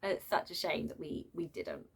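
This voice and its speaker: A woman giving an unscripted, chatty book rant as a reviewer, exasperated and prone to animated asides. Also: low-pitched, moderate pace, slightly rough. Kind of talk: unscripted book rant, casual vlog chat.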